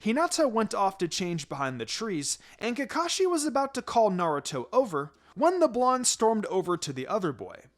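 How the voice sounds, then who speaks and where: A man's voice: high-pitched, very animated, moderate pace, hardly any pauses. A man reading a story aloud as a narrator, in a scripted storytelling style. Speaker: fan fiction reader. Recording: music behind the voice.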